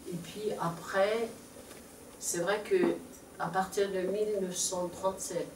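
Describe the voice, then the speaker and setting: A woman's voice: mid-range voice, mellow, slight background noise. A woman with a Mongolian accent, speaking as presenter and interpreter in a live conference talk, hesitant with frequent fillers. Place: lecture room. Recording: echoey room, mic far off.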